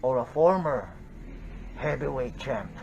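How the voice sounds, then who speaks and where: A man from the Philippines, a pro wrestler cutting a slow, deliberate, menacing promo against a rival. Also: mid-range voice, lively intonation, gravelly, muffled recording, noisy background.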